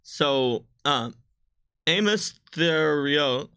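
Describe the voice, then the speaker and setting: A man's voice: on the high side, animated, drawn-out words, pushed and bright, clean tone. A man working as a game designer, answering questions in casual, discursive panel chat and often rewording himself. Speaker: game designer on a company livestream.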